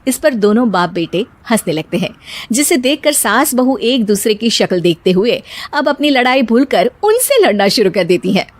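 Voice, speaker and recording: A woman narrating a cartoon story in a brisk, scripted way. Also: fairly high pitch, sing-song, dry recording.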